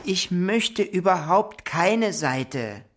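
Earnest, single-minded seafarer, children's audio drama: unhurried, matter-of-fact, expressive intonation. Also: female voice, fairly low pitch, slight background noise. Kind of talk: children's radio play acting.

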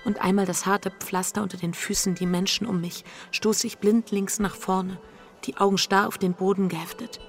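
An actress playing an anxious, guilt-ridden wife in an intense radio drama inner monologue. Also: female voice, medium pitch, flat delivery, moderate pace, faint music behind, natural voice. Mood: driven, tense.

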